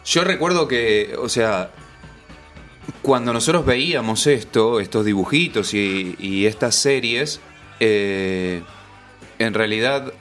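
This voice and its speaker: A middle-aged man with an Argentine accent and a fairly low voice reminisces in casual conversation. Soft music plays behind him. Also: slow pace. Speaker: radio guest.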